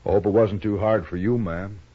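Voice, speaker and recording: An American man playing a plainspoken, gentle, sorrowful rancher in a 1950s radio western, speaking politely and humbly with a husky voice. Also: lowish voice, fairly even intonation, brisk, lightly acted. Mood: subdued, sorrowful. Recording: dull, muffled vintage radio recording.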